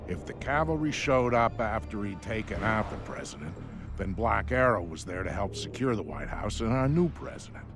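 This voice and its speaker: A man playing a wry, hard-boiled military veteran who narrates a video game story in a gravelly, matter-of-fact way. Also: fairly low pitch, animated, moderate pace. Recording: music behind the voice. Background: soundtrack music.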